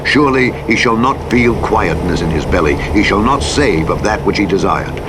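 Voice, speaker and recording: A man with a low voice narrates scripture like an audiobook. He speaks in solemn, deliberate phrases with a measured dramatic delivery. Loud truck engine rumble runs all through the recording. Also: lively intonation. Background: loud vehicle noise from a truck driving game.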